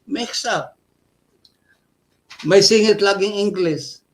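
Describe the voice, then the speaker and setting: A man from the Western Visayas, a radio guest explaining things in a halting way with many pauses and fillers. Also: high-pitched. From Western Visayas, Philippines.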